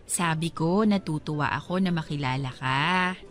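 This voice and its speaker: An actress in a radio drama playing a gentle, patient young wife in her twenties. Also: deep voice, lightly acted. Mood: kind. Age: 20s. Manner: kind, patient, slow-spoken. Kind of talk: Tagalog radio drama acting. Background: background music.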